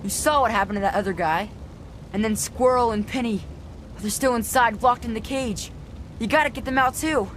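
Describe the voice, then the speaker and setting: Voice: mid-range voice, moderate pace, a bit bright, slight background noise, lightly acted. An actor voicing a blunt, slangy, streetwise kid escaping captivity in a video game. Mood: urgent, blunt. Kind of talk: video game character acting.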